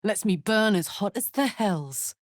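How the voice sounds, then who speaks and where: A woman's voice: medium pitch, expressive, a little slow, no echo, studio quality, natural voice. A woman voicing a brash, big-hearted, hot-tempered warrior in a fantasy video game, speaking bluntly, informally and with open emotion. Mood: wry, casual.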